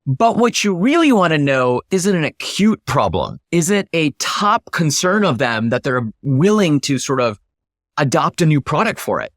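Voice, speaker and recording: Higher-voiced man explaining in an interview. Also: big pitch swings, normal speed, dry recording, studio quality. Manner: emphatic, instructive, illustrates points with acted-out example questions. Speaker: interview guest, startup founder.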